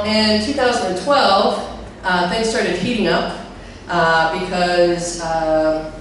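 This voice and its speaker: A middle-aged woman with a deep, low voice speaks at a live conference, chatty and loose, with plenty of fillers and questions for the crowd. She talks into a handheld mic, but the recording catches her from a distance in a very echoey hall. A faint hum of room noise sits under her voice. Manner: chatty, many fillers, asks the audience questions. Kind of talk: live tech talk to an audience. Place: large hall with a projector screen.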